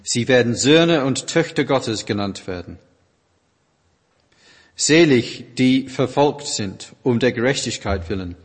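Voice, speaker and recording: A man reading a Bible passage aloud in a solemn, measured way. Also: mid-range voice, expressive, breathy edge, in a large hall. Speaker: scripture reader.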